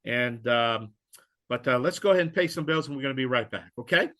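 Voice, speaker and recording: A man's voice: mid-range voice, expressive, brisk, fairly bright tone. A man co-hosting a radio talk show, plainspoken and folksy.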